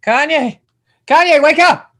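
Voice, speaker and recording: A timid, easily panicked man, acted out by a male reader in an adventure script. His voice shoots up very high as he exclaims in alarm. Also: measured pace, fairly bright tone. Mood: frantic. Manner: alarmed, exclaiming, folksy oaths.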